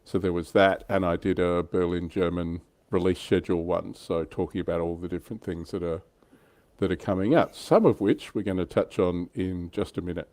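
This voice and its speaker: A man with a low voice hosts a relaxed hobby livestream. He talks in a conversational, lightly teasing way and keeps prompting the others. The sound is dry, with very little room in it. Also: normal speed, no room sound. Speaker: host of a tabletop wargaming livestream. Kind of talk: casual hobby chat.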